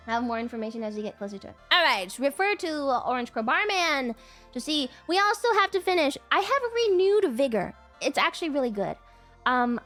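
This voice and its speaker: A high-voiced woman sounds stuffed up from a cold as she rambles through a casual stream. She slides into whiny, playful protests, and soft music plays faintly behind her home desk mic. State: congested with a cold. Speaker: livestreamer.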